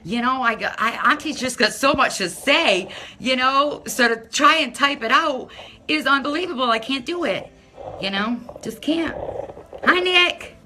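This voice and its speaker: A middle-aged woman from Massachusetts with a non-rhotic local accent, chatting casually on a phone live stream from her home, loud and talking to viewers by name. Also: high-pitched, expressive, measured pace, slight background noise, low-quality recording. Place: home, by a window. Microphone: phone held at arm's length.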